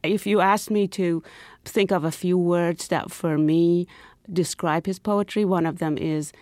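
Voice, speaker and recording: Woman from iran, kinda low voice, talks expressively and whispers the key bits, dry close sound. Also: measured pace, dry recording. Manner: expressive, drops to whispers for emphasis. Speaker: guest, professor and poet. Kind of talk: interview conversation.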